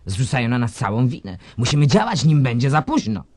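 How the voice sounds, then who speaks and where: A man's voice: mid-range voice, very animated, a little fast, background noise, natural voice. An actor playing a cynical, scheming male government minister in a radio drama, sardonic and chuckling as he plots. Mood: plotting. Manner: sly, sardonic, chuckles while plotting.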